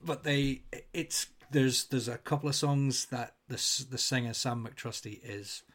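A man's voice: mid-range voice, unhurried, a bit bright. A man hosting a podcast, asking questions casually and searching for his words. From Scotland. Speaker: podcast host.

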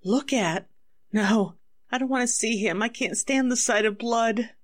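Slow, melodramatic radio-drama suspect wife. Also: female voice, on the high side. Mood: shocked. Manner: melodramatic, faltering. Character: anxious, evasive wife accused of murder. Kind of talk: 1940s radio acting.

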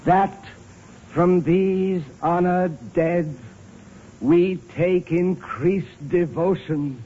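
An actor playing a humble, earnest, deferential manservant with courteous, formal diction in 1930s Hollywood film style. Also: male voice, sits high, very slow, mellow, husky, heavily acted. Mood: solemn, reverent. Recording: muffled noisy old film soundtrack.

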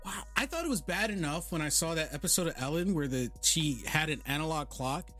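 A man's voice: a little high, lively intonation, a little fast, sharp tone, faint music behind. A man in his thirties hosting a casual group chat, exasperated and rambling, often stumbling over restarts. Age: 30s. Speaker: podcast host.

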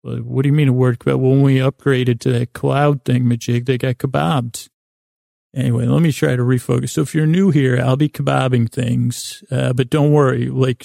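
A man hosting a bedtime podcast in a rambling, whimsical monologue, meandering and stumbling over words, with a gravelly, creaky voice. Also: medium pitch, warm tone, no room sound, clean studio sound. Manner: silly, whimsical, soothing intent. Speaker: podcast host.

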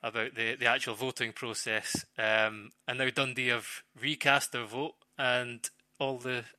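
A man giving off-the-cuff football analysis as a pundit, haltingly, searching for words and repeating phrases. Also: mid-range voice, level tone, sharp tone, clear, resonant tone, no room sound.

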